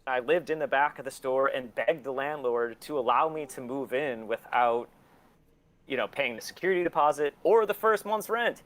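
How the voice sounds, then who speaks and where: A man's voice: medium pitch, expressive, moderate pace, fairly bright tone. A man in his forties talking candidly and casually as an interview guest, blunt and opinionated, swearing often. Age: 40s. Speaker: interview guest, record label and museum owner.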